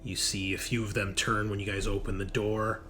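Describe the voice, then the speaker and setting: A man improvising narration as game master of a tabletop game, often halting to search for words. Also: lowish voice, little pitch movement, fairly bright tone, faint music behind. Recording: noisy room recording.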